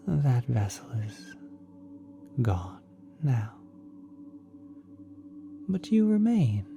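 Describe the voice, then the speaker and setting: A woman voicing a kind, doting celestial parent in an ASMR roleplay, soft and breathy with gentle, tender cooing. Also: extremely low pitch, heavily acted. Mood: gently firm. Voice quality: soft, breathy, partly whispered. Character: kind, doting ethereal cosmic being, parent of a mortal.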